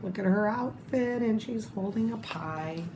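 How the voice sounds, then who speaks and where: A woman reading a children's picture book aloud expressively, pausing to add her own comments. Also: medium pitch. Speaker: storybook reader. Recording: echoey room with faint background noise.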